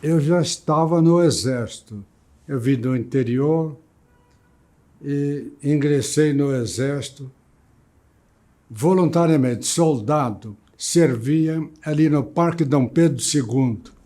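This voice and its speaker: A man's voice: a little high, slow, dark tone. A man in his nineties, a war veteran, speaking tersely and matter-of-factly. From Brazil. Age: 90s.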